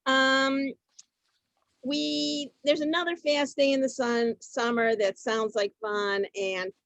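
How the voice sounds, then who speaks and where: Woman host, fairly high voice, dry close sound, informal, halting, repetitive phrasing. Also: fairly even intonation, a bit bright, dry recording. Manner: halting, repeats herself. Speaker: host introducing performers. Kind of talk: informal hosting of amateur theater.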